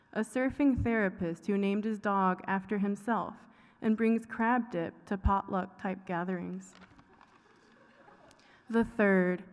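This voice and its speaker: A woman giving a dramatic reading of a short story, with deliberate, pausing phrasing. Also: mid-range voice. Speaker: fiction writer reading own work. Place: reverberant hall at a live public reading. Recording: echoey hall with long reverb.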